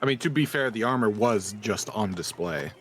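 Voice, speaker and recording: A man's voice: fairly low pitch, expressive, normal speed, slight background noise. A man chatting casually as a player in a tabletop role-playing game.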